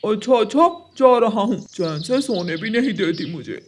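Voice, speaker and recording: Casting: male actor as lazy crow schemer, notably high voice, grumbly and commanding, children's cartoon. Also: lively intonation, moderate pace, slight background noise. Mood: grumbling. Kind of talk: Hindi cartoon story dialogue.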